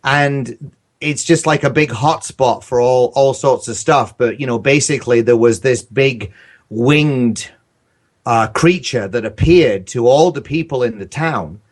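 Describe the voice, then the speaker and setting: A man with a medium-pitched voice talks casually on a podcast. He rambles through his stories, hesitating and circling back as he goes. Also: measured pace. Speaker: podcast guest, audio drama creator.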